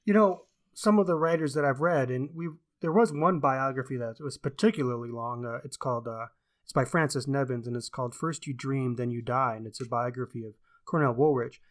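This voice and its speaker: A man's voice: mid-range voice, fairly quick. Opinionated man discussing books, emphatic delivery. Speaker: podcast co-host and book reviewer. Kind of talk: casual book discussion.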